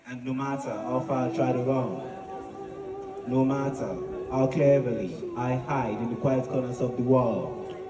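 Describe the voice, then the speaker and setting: Male monologist, solemn declamatory oratory, measured phrasing; roomy, slightly distant recording over music. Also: mid-range voice, steady tone. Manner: solemn, deliberate, declamatory with pauses. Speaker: spoken word monologist. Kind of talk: poetic dramatic monologue, oratory. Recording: echoey, distant voice with music behind.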